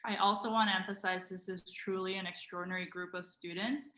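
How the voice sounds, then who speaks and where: A woman's voice: mid-range voice, fairly even intonation, a little fast, a bit bright. A woman who directs a program, speaking in an enthusiastic, emphatic way on a video call. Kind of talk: online info session talk. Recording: echoey room.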